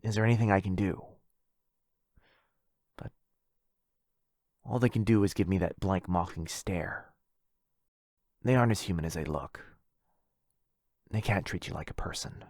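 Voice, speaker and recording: Man playing clingy brooding vampire, low and dry. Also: a little slow, long pauses, a little mellow, dry recording. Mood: bitter, hurt. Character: needy, wounded, clingy yandere vampire brother. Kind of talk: boyfriend ASMR roleplay audio drama.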